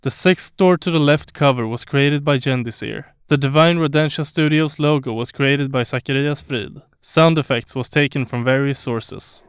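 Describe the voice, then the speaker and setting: Smooth, clear man reading credits. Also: medium pitch, normal speed, hardly any pauses, dry recording, clean studio sound. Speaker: credits announcer. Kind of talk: scripted credits narration.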